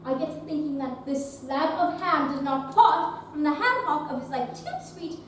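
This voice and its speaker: A high-voiced, gracious Victorian actress, played by a young woman, talks with poised, theatrical manners. It is a live stage drama, picked up from far off in a very echoey, noisy space. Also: expressive, moderate pace. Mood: mock-exasperated. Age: young adult. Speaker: stage actor in a teen theatre program. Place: theatre stage, recorded from afar. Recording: distant, echoey stage recording with noise.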